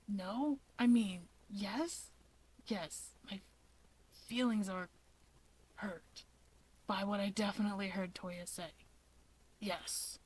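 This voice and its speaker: A woman voicing an awkward, guarded young man in a fan story, speaking quietly and hesitantly. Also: medium pitch, slow, pauses often, husky. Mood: awkward, defensive.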